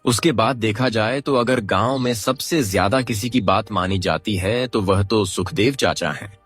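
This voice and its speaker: A man's voice: fairly low pitch, breathy edge. A man playing an honest, simple village farmer in a cartoon story, sincere and questioning.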